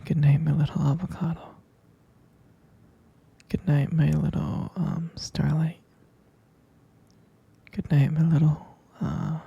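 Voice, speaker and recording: A man playing a doting, teasing vampire boyfriend in an ASMR roleplay, murmuring tender pet names in a breathy, husky, hesitant whisper. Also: on the high side, warm tone, muffled recording, full character voice. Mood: tender, soothing.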